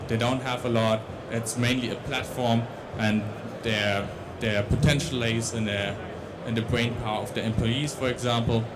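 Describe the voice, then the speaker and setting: A man's voice: medium pitch, fairly even intonation, moderate pace, hardly any pauses, background noise. A young man with a Dutch accent giving a tech conference talk on a large stage into a handheld mic, with many restarts and fillers. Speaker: conference speaker.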